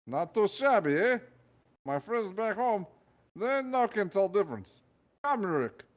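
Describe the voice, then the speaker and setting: A man's voice: sits high, sing-song, a little slow, highs cut off, voice pushed up. A man voicing a pompous male Russian prison guard with a heavy put-on Russian accent and broken English. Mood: boastful. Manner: broken English, boastful, theatrical.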